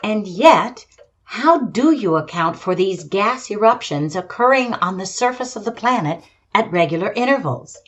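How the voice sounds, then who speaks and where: A woman's voice: medium pitch, expressive, normal speed, close to an everyday voice. Radio-play field reporter, actress, female, mid-range voice, 1930s style, formal, courteous, descriptive. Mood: inquisitive, polite. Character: poised female radio field commentator interviewing an astronomer. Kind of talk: 1930s radio drama live commentary.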